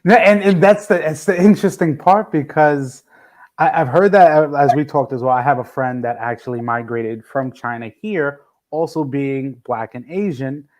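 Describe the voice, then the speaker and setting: A man hosting a relaxed podcast chat, his voice sitting a bit higher than most men's. He winds through long, meandering questions, restarting and circling back as he goes. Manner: rambling, restarts often, drawn-out phrasing. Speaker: podcast host. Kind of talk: casual interview.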